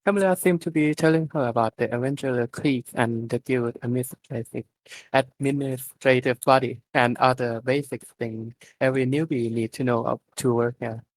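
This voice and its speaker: A man's voice: medium pitch, steady tone, normal speed, slight background noise, poor audio. A man doing an informal live amateur reading of a story, stumbling over words now and then. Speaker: reader of fan fiction at an online readalong.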